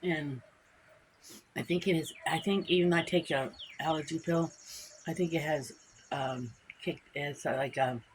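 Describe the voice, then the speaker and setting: A woman's voice: deep voice, a little slow, pauses often, slight background noise. Stuffy-nosed woman, rambling chat. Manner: chatty, rambling and repetitive. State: stuffed up from allergies. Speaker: vlog host. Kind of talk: casual chat to camera.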